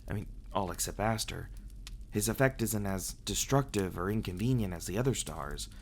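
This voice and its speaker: A man playing a reclusive, brooding vampire who confides earnestly and haltingly, in an ASMR roleplay audio drama style. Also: on the low side, expressive, a little hiss or hum. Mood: troubled, earnest. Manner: halting, hesitant delivery with dramatic pauses and sighs.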